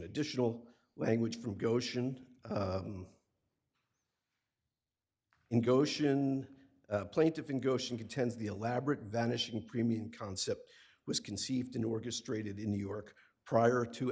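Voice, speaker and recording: A man's voice: on the low side, darkish tone, slightly rough. A man arguing an appeal before judges in a courtroom, speaking emphatically at a podium mic and often repeating words as he quotes case law. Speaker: appellate attorney. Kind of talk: oral argument.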